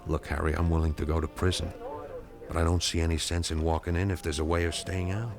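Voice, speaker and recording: An actor playing a vengeful male war veteran in a 1970s radio drama, calmly and persuasively planning a murder. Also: deep voice, quick pace, music in the background. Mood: scheming coldly. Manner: cold, persuasive, matter-of-fact about killing.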